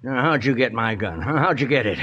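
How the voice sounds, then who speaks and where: An actor playing a wily, ornery old outlaw with a broken leg in a radio western, in a folksy American Western drawl with halting exclamations. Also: male voice, medium pitch, lively intonation, fairly bright tone, husky. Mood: amused, scheming. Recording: dull, noisy old radio recording.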